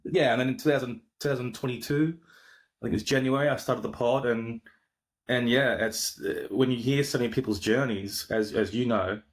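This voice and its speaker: A man's voice: mid-range voice, moderate pace. An Australian man, a podcaster and coach, talking in a casual, rambling way with lots of fillers and restarts over a video call. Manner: laid-back, rambling with frequent fillers and restarts. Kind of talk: casual interview chat.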